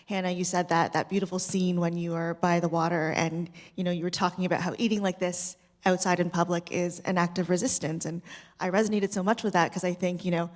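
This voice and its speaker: A woman's voice: fairly low pitch, little pitch movement, a little fast. A woman moderating a live Q&A in a reflective, informal, conversational way. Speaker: panel moderator. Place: theatre stage at a live screening Q&A. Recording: reverberant hall, stage microphone.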